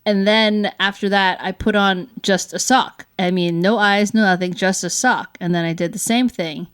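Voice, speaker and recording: A woman's voice: mid-range voice, steady tone, moderate pace, smooth. A woman co-hosting a family show as a parent, speaking in a casual, conversational style.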